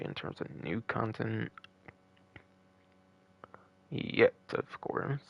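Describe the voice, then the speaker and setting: Male game streamer, very fry-heavy voice with big swings in pitch; casual, rambling, off-the-cuff delivery. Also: a bit bright. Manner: rambling, repetitive, casually profane. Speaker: streamer hosting a gaming live stream. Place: a room at home.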